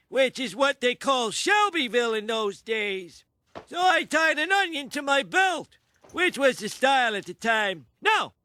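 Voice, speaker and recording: High-pitched rambling old man. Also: bright, forceful tone, no echo, clean studio sound, heavily acted. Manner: meandering, emphatic storytelling. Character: rambling, forgetful old man telling pointless stories. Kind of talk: comic cartoon monologue.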